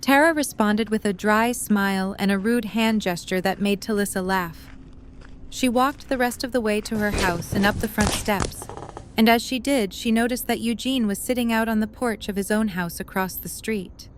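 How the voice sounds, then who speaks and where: A woman's voice: medium pitch, moderate pace, noisy background. Woman narrating a story.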